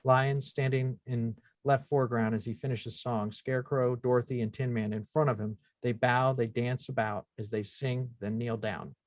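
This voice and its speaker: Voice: medium pitch, steady tone, moderate pace, few pauses, no room sound. A narrator reading out screenplay stage directions as scripted narration at a table read.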